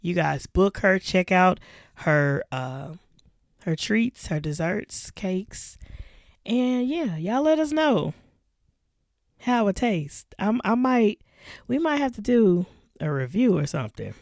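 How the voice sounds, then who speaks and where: A hoarse woman co-hosting a podcast, chatting casually with laughs and slow, drawn-out lists. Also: medium pitch, sing-song, darkish tone, no echo, studio quality. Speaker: podcast co-host. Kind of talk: casual chat.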